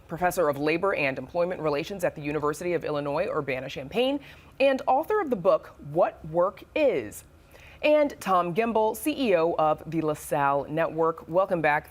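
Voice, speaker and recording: A woman with an American accent reading scripted news and hosting studio interviews in a crisp, measured broadcast delivery. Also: low-pitched, lively intonation. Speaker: news anchor and host.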